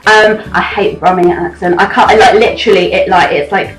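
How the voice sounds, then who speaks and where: A woman's voice: medium pitch, steady tone, quick pace. A British woman of thirty chatting casually with a friend in a self-deprecating, dramatic way. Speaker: host of a chat series. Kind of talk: casual chat between friends. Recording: echoey, poor-quality amateur audio, music behind.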